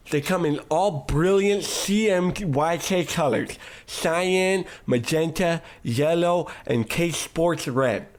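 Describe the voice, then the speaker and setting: A slick, upbeat radio ad announcer, played by a man, pitching a product like an old-time commercial spot. His voice sits a little high and salesy.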